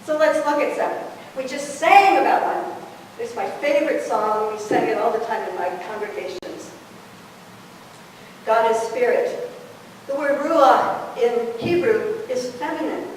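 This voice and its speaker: Older woman speaking slowly in a hall. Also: a little high, expressive, a little hiss or hum. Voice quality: fairly loud voice. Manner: slow, earnest, reflective. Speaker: guest speaker. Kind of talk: spiritual talk. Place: church hall. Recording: echoey hall from far off.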